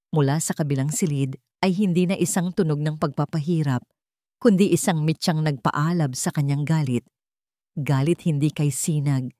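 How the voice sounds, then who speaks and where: A woman reading a scripted dramatic story in a measured, solemn manner. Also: deep voice, a little mellow, dry recording, studio quality. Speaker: story narrator.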